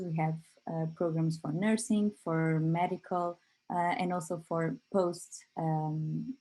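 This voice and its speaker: A woman giving an online webinar presentation over a video call, pausing often on filler sounds as she talks. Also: fairly low pitch, dark tone, low-quality recording. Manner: hesitant, frequent filler pauses. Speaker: company presenter.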